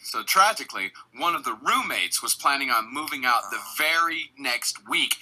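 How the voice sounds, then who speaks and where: Host, male: fairly high, piercingly bright voice, narrating casually for a comedy podcast. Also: low-quality recording. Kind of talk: storytelling with casual asides.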